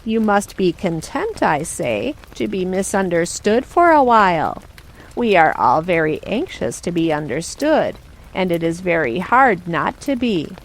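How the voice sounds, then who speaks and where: Lady playing a storybook grandmother, patient n soothing, very unhurried but lilting, teaching gently. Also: fairly low pitch, low-quality recording. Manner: measured, soothing, instructive.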